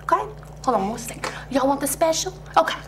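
A woman's voice: high voice, expressive, fairly quick, noisy background. A woman playing a gushing, nosy truck stop waitress in a comedy sketch, folksy and prying.

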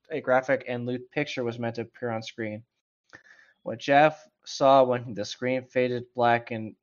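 A man's voice: medium pitch, smooth. A man reading a story aloud casually and breaking off for irreverent, sweary commentary. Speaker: co-host reading stories aloud. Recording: dull, lo-fi microphone.

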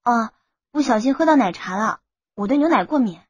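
Young female student in love, played by a woman, high, soft, slightly husky, shy but stubborn, audiobook romance. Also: lively intonation, fairly quick, dry recording, muffled recording. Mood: reserved. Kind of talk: audiobook character acting.

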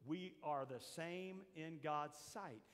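An older man preaching a sermon as pastor to his church, shifting from quiet asides to shouted points. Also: fairly high pitch, slow. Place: large church hall with a seated congregation. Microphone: handheld mic, roaming the stage. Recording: long hall reverb.

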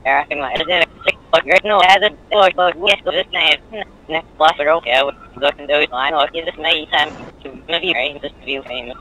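Bright, forceful man on game chat. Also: a little high, fast talker. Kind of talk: online role-play game chatter. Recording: dull game voice chat, faint noise.

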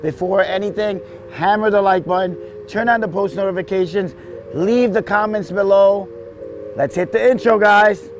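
A man's voice: high-pitched, normal speed, background noise. A man in his forties hosting a casual roofing vlog on site, upbeat and salesy, talking to viewers as "guys" while standing on a house roof. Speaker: roofing contractor and channel host. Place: outdoors on a house roof and front yard. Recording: background music under the voice.

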